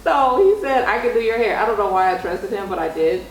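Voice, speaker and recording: A woman's voice: mid-range voice, expressive, brisk. A young woman telling stories in a casual, chatty vlog style, acting out dialogue as she goes. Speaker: vlog host interviewing mother. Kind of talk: casual storytelling chat. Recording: roomy echo with background noise.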